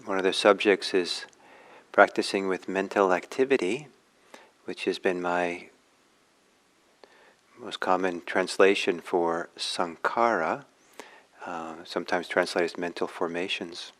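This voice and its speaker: Low-voiced man guiding meditation, haltingly. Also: steady tone, a bit bright. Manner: halting, reflective, pausing often. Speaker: meditation teacher. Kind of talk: guided meditation talk.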